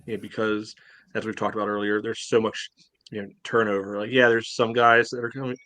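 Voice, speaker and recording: A man's voice: fairly low pitch, little pitch movement, fairly quick, pushed and bright, dry recording. A man co-hosting a sports podcast, talking bluntly and sarcastically with lots of filler words. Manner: blunt, sarcastic, many "you know" fillers. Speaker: podcast co-host. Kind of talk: casual sports podcast chat.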